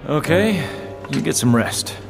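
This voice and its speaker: An actor playing a middle-aged, wisecracking demon hunter in a video game, flippant and swaggering. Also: male voice, medium pitch, very animated, measured pace, creaky. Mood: cocky teasing. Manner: swaggering, flippant, drawled quips. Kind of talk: action video game voice acting. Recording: loud music behind the voice.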